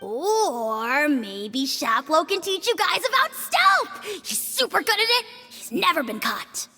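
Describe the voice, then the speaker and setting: A woman voicing an excitable, chatty, admiring little girl in a video game. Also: very high voice, slow, exaggerated voice. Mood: eager. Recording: reverberant, with faint music behind.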